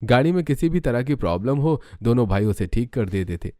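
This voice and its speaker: A man's voice: mid-range voice, lively intonation, a little fast, warm tone. A man narrating a scripted story as its narrator.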